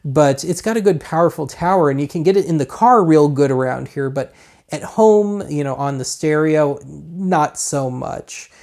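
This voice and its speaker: A man's voice: on the high side, lively intonation, moderate pace, gravelly, a little mellow. An American man hosting a casual gadget walkthrough in a rambling, colloquial, self-deprecating way. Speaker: host of a gadget review show.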